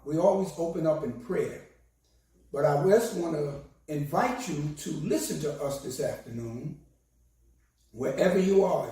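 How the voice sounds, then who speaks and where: A hoarse man, a minister, giving a slow and solemn sermon with a recited prayer. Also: a little high, mellow. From United States. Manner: slow, measured, solemn delivery. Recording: very echoey, distant from the mic.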